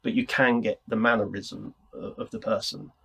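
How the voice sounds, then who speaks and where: A man's voice: lowish voice, steady tone, moderate pace, gravelly, pushed and bright, slightly rough. A man presenting a podcast interview, chatty and explanatory as he puts his questions in a conversational style. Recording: ambient room mic.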